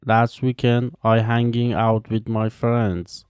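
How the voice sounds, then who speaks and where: Careful, mellow man. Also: mid-range voice, flat delivery, clear, resonant tone, no room sound. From Iran. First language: Farsi. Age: 20s. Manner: slow, careful, evenly paced delivery with pauses between answers. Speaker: language teacher. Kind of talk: reading scripted practice answers.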